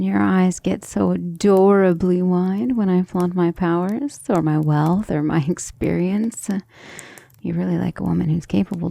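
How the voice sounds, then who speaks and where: A woman playing a centuries-old vampire lover in an intimate ASMR roleplay, breathy and often near a whisper, speaking with refined, devoted affection. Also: lowish voice, dark tone, no echo, heavily acted. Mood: tender, teasing. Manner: affectionate, refined, hesitant musing.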